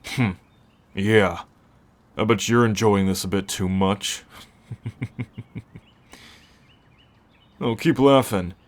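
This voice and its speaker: Man acting a slow, low, brooding new werewolf. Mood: anxious, regretful, then teasing. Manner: halting, sighing, sardonic. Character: gruff, brooding chemistry teacher turning werewolf, tenderly protective. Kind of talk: ASMR roleplay acting.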